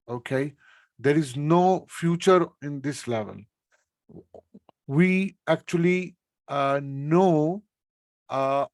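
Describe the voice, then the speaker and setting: A man's voice: fairly high pitch, no room sound. A man leading a spiritual coaching session, explaining step by step and checking in with listeners by ending his points with "okay?". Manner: unhurried, explaining step by step with many pauses.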